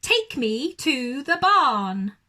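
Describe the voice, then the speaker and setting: Lady doing a lazy cheeky little boy owl for a kids story, high n swoopy, yelling all dramatic. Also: big pitch swings, very slow, exaggerated voice. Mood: mock panic. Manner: theatrical, exclaiming. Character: lazy, cheeky young male owl, crying emergencies. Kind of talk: children's storybook character voice.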